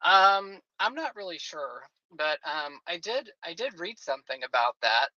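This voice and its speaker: A man's voice: a little high, sing-song, a little slow, sharp tone, no echo, muffled recording. A man in his late forties chatting casually on a podcast, rambling with lots of fillers. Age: 40s. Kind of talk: casual chat.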